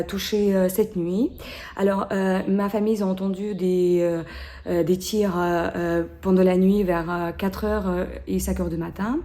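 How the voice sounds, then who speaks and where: A woman in her thirties with a Ukrainian accent, answering interview questions haltingly, with many fillers and restarts. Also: lowish voice, mellow.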